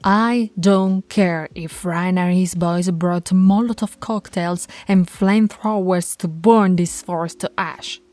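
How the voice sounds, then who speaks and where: A woman voicing a stern, commanding female werewolf pack alpha in an ASMR audio roleplay, bossy and scolding, sometimes dropping to soft whispers. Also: on the low side, fairly even intonation, slow pace, hardly any pauses, no echo, faint music behind.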